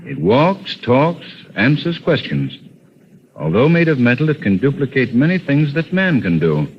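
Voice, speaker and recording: An actor playing a suave, calm gentleman who talks in a smooth, amused way, in 1940s radio acting style. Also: mid-range voice, unhurried, slight background noise. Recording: dull old radio broadcast.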